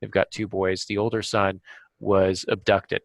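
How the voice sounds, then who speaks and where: Low-voiced man, halting podcast explainer. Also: level tone, gravelly, no echo. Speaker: podcast host and novelist. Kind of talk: casual craft discussion with examples.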